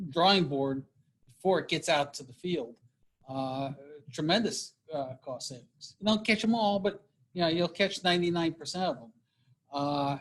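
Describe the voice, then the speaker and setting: A man in a casual meeting discussion, explaining at a measured pace with many fillers. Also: on the high side, fairly bright tone, low-quality recording. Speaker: meeting participant.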